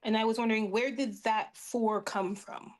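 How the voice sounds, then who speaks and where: Bright-spirited casual woman, slightly high voice. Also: steady tone, normal speed. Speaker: player in a tabletop game. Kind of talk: casual tabletop game chat.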